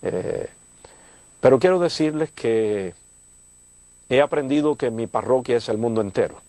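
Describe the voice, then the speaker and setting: Older church leader from Cuba, speaking warmly and expansively in a studio interview, dry close sound. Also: male voice, medium pitch, animated, measured pace, dry recording. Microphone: lapel.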